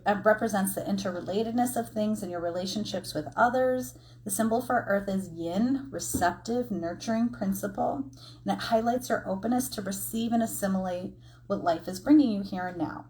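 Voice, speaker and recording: A woman's voice: mid-range voice, darkish tone. A woman giving a casual, encouraging oracle card reading, explaining things conversationally with informal asides. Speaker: tarot and oracle card reader.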